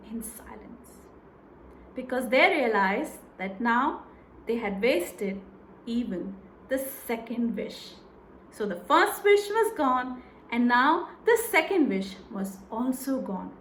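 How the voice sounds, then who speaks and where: A woman narrating a folktale for children in a deliberate, expressive, dramatised way. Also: a little high. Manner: deliberate, expressive, repeats phrases for effect. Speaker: children's storyteller. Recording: echoey room with faint noise.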